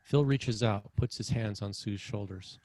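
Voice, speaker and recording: A man's voice: lowish voice, measured pace, a little mellow, dry recording. A man reading screenplay action lines as narrator at a table read. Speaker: screenwriter narrating stage directions.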